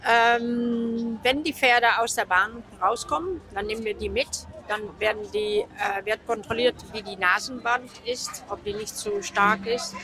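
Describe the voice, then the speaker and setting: A woman from the Netherlands with a Dutch accent, a judge explaining equipment checks in halting, word-searching speech, recorded outdoors at a horse show. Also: on the high side, noisy background. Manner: halting, searching for German words. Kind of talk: interview answers.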